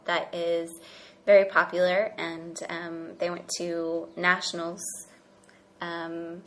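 A young woman from Oklahoma talking casually about university life, pausing often with ums. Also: lowish voice, fairly bright tone. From Oklahoma, United States. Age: young adult. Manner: hesitant, many ums. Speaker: university graduate. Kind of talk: casual chat.

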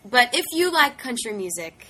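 A woman's voice: a little high, very animated, normal speed, pushed and bright. A friendly woman in her twenties from New Orleans, introducing herself. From New Orleans, USA. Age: 20s. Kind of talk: self-introduction.

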